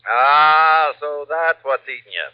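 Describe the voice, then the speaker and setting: An actor playing a breezy, defensive insurance agent who dodges questions, in a 1950s radio drama. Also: male voice, a little high, animated, slow pace, clean tone. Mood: evasive. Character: evasive, jovial male insurance agent. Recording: dull lo-fi 1950s radio recording.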